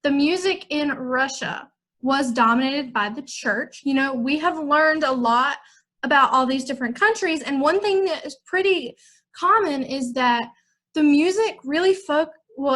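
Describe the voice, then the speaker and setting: A woman's voice: high voice, fairly bright tone. A young woman from the United States, a music teacher giving an upbeat, chatty online lesson to children.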